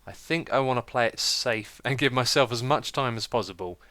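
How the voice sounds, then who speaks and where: A British man giving casual, chatty gameplay commentary as a let's play host, with a slightly croaky voice and a self-mocking manner. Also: medium pitch, normal speed, sharp tone, dry recording. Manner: chatty, self-mocking, laughs at own slips.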